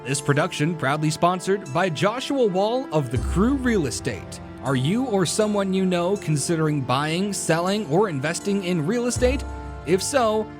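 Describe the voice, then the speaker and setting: A man's voice: high-pitched, very animated, moderate pace. A male announcer reading scripted programme credits and sponsor messages in a showy radio style. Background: music.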